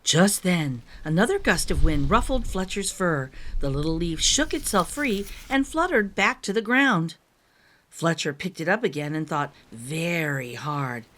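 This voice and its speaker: A woman narrating a children's picture book in an expressive, careful storytelling manner. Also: lowish voice, unhurried. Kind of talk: expressive storybook read-aloud.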